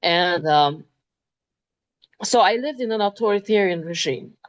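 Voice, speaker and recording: Woman, lower voice. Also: expressive, muffled recording. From Transylvania, Romania. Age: 50s. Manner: thoughtful, many ums and pauses. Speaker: interview host. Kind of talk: moderated talk.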